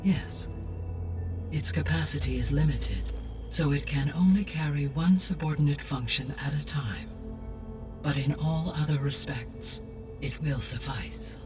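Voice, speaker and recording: An actor voicing a calm, formal artificial intelligence in a video game, precise and measured in his explanations. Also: a little high, recorded from a distance, lightly acted. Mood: measured, instructive. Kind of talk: video game dialogue, expository. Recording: music playing behind the voice.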